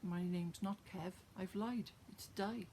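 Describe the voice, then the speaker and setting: A woman's voice: mid-range voice, slow, warm tone, slight background noise, natural voice. A Welsh woman voicing an ashamed, homesick Welshman from Cardiff who confesses his real name. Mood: sheepish.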